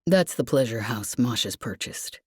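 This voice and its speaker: A woman voicing a gentle, apologetic man, soft-spoken and sincere, in an audiobook story. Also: a bass voice, expressive, breathy edge, dry recording, clean studio sound, voice pushed down, exaggerated voice. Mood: calm, businesslike. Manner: halting, soft-spoken, rueful.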